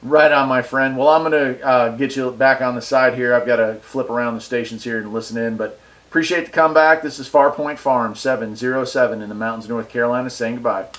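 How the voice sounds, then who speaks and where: An American man chats casually as he reviews gear for a video. His voice sits at a middle pitch, and he sounds enthusiastic and folksy. He is recorded on a wireless mic. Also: fairly even intonation, fast, fairly bright tone. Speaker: radio hobbyist and video reviewer. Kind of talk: casual product review and radio chat. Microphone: wireless mic set between him and the radio.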